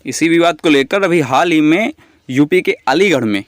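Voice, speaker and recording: Higher-voiced man explaining like a teacher. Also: lively intonation, normal speed, dry recording, studio quality. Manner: didactic, explains terms and restates them in other words. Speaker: educational video presenter. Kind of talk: explanatory lecture on current affairs.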